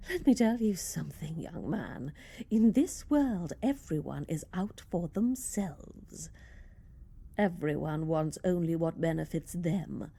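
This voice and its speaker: A woman's voice: lowish voice, vocal fry, dark tone, slightly rough, heavily acted. An actress playing a cynical, scornful woman in a radio play, lecturing sternly and cuttingly. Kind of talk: radio play monologue acting.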